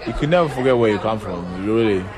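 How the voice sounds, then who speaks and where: A man's voice: fairly low pitch, expressive, fast talker. A man in his twenties from Sudan whose first language is Acholi, talking in a reflective, casual way and often saying "you know". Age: 20s. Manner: reflective, loose informal grammar, frequent "you know". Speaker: interviewee. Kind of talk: casual interview talk. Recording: crowd noise around speaker.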